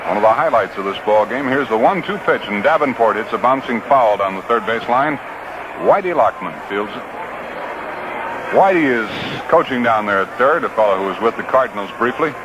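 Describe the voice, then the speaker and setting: A man's voice: medium pitch, lively intonation, brisk. An American man calling live baseball play-by-play on the radio in a folksy, conversational way, with short clipped phrases. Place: outdoor ballpark broadcast booth. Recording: noisy vintage 1960s radio broadcast.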